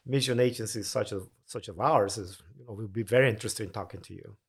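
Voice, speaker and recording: A man's voice: on the low side, fast talker, gravelly. Earnest man. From Honduras. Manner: earnest, explanatory, occasional restarts. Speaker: mission agency president. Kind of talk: podcast interview.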